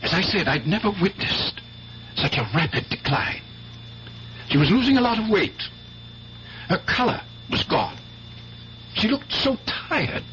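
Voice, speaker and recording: Earnest, high-voiced worried doctor. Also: male voice, big pitch swings, a bit bright, slight background noise, heavily acted. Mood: troubled recollection. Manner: grave, emphatic, deliberate phrasing. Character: earnest, worried doctor, a man, blunt and insistent. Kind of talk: 1970s radio drama acting. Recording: dull, hummy old radio recording.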